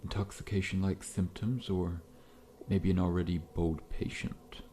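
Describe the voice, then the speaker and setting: A man playing a massive, friendly but faintly menacing scorpion-man dentist in an ASMR roleplay, speaking in a gentle, reassuring, politely formal way. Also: sits low, little pitch movement, a little slow, a little hiss or hum. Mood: attentive, amused. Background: background music.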